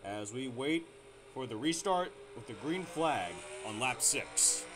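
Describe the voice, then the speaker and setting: Male amateur race caller, mid-range voice, excitable and rough-edged delivery, faint noise floor. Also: mid-range voice, takes it slowly. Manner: excitable, restarts sentences with fillers. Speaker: race commentator. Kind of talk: amateur sim racing play-by-play commentary.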